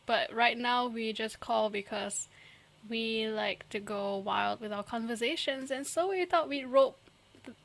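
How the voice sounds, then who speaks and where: A woman's voice: on the high side, unhurried, gravelly. A young woman from Singapore, chatting casually as a podcast co-host and giggling often. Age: young adult. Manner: chatty, giggly, trails off and restarts. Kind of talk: casual chat.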